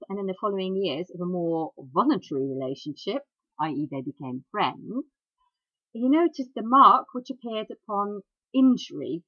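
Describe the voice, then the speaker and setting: A woman's voice: mid-range voice, no room sound. Chatty woman host, dull dry sound. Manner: chatty asides, hesitant fillers. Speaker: radio host. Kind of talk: informal talk on iridology history.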